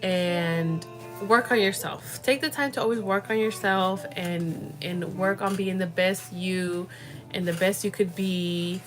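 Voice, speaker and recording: A woman's voice: lowish voice, fairly even intonation, unhurried, fairly bright tone, soft background music. A young woman hosting a casual livestream, talking in a rambling way with lots of fillers and the odd Spanish word. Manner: rambling, full of fillers, slips into Spanish words. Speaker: livestream host.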